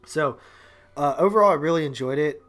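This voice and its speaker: A man's voice: on the high side, a little fast, fairly bright tone, soft background music. A man co-hosting a podcast, reviewing movies in a casual, rambling, slangy way. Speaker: podcast co-host.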